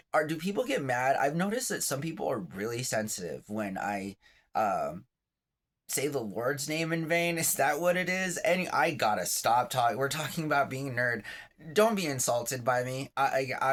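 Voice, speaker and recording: A man in his thirties rambles through a laid-back home vlog, chatty and self-mocking, drifting into asides as he goes. His voice sits at an ordinary middle pitch for a man, and he sounds like he is talking from bed just after waking up. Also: fairly bright tone. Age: 30s. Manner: chatty and self-deprecating, many fillers and asides. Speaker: vlogger, channel host. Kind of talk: rambling casual vlog monologue.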